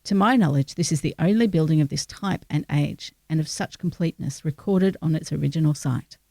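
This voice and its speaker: Female reader, creaky and mellow, voicing quoted newspaper copy in a plain scripted read. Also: deep voice, fairly quick, no room sound. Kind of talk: scripted reading.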